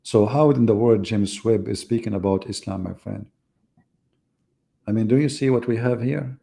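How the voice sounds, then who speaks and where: Gravelly, dark-voiced mocking man. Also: on the low side, normal speed. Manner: mocking, ironic, rambling asides. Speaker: livestream debate host. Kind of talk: sarcastic live-stream commentary.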